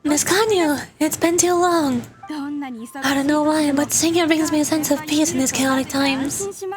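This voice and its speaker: A worried young clerk, read by a woman, speaks haltingly and trips over her words. The voice is high, with game music in the background. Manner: hesitant, stumbling, anxious. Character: troubled young female clerk, worried and hesitant.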